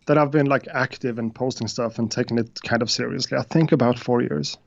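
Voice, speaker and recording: Brief: Swedish-accented man, non-native English speaker, halting guest talk, dull dry call audio. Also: medium pitch, steady tone, vocal fry, dry recording. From Sweden. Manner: hesitant, many uhs and restarts. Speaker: guest beatmaker. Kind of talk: casual interview chat.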